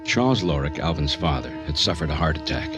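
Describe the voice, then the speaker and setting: A man's voice: extremely low pitch, expressive, fairly quick. A man playing a sober psychiatrist narrating a case in a radio drama. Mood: grave, measured. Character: sober male psychiatrist recounting a patient's case. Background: music.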